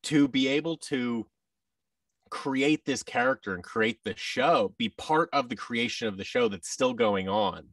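A man in his thirties with an American accent, chatting casually as an interview guest and telling anecdotes in an expressive way. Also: mid-range voice, unhurried, no echo, clean studio sound, far from the mic. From Seattle, United States. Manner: animated, anecdotal, acts out quoted thoughts. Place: large furnished indoor room, video call. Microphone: boom mic and headset, slightly off-mic.

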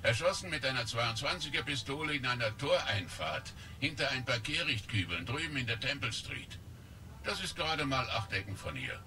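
A sly middle-aged policeman in a radio drama, with a lively voice that rises and falls and a teasing manner. Noise sits clearly behind him. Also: mid-range voice, normal speed. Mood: stern. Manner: sly, mocking, then stern. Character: gruff middle-aged male police officer. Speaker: police officer. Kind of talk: German radio play acting.

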